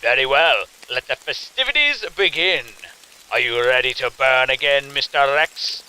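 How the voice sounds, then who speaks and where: A man's voice: medium pitch, animated, moderate pace, pushed and bright, clear, resonant tone, rough recording. A man playing a sinister, theatrical festival host in an audio drama, taunting with mock politeness and gleeful menace. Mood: quietly menacing.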